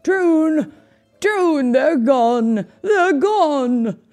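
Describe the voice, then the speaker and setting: A woman's voice: sits high, expressive, drawn-out words, faint music behind, full character voice. A woman doing a wailing, distraught king. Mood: distressed. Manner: shouting, wailing. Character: distraught, childlike male king.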